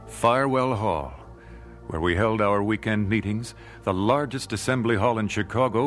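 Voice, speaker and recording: An actor playing an earnest nineteenth-century American gospel singer in a radio drama, speaking in a measured, solemn, dramatic way. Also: male voice, fairly low pitch, fairly bright tone, lightly acted. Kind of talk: radio drama narration and dialogue.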